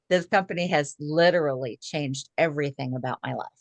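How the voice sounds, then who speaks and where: A woman's voice: sits low, no echo. A woman in her sixties speaking as a guest on a video call, chatty and full of "um" fillers. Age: 60s. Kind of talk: casual video call talk.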